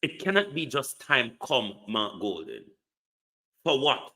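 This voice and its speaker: Man, political commentary, emphatic, slow delivery, bright tone. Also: medium pitch, sing-song, creaky. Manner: emphatic, repeats the addressee's name, rhetorical questions. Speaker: online commentator.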